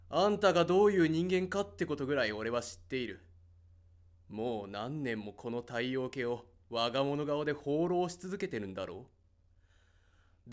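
A man playing a stern, rule-bound spaceship captain in an audio drama, curt and commanding. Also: a little high.

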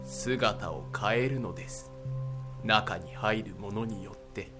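Man acting polite, enigmatic man over faint music. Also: on the low side. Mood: solemn, mysterious. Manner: polite, measured, fatalistic. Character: calm, enigmatic, courteous man who knows ancient secrets. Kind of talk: audio drama acting.

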